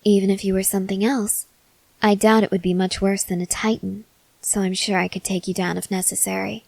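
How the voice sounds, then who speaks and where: A woman playing a stoic, confident young woman soldier in an ASMR roleplay, speaking in a measured, serious, guarded way with hesitant pauses. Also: mid-range voice, level tone, vocal fry, a little mellow, no echo. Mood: cool, confident. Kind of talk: ASMR roleplay, acted scene to a listener.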